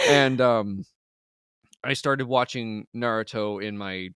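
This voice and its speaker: Podcast host, man with a deep, resonant voice, loose rambling chatter, dry close sound. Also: slow pace, a bit bright, smooth, dry recording. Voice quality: deeper voice. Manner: laughs often, loose and rambling, swears casually. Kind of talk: casual interview chat.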